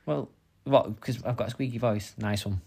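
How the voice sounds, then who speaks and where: A man's voice: mid-range voice, moderate pace, vocal fry, mellow, breathy edge. A young man from Manchester with a Mancunian accent, co-hosting a casual couple's podcast, joking and swearing as he talks. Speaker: podcast co-host. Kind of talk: casual chat.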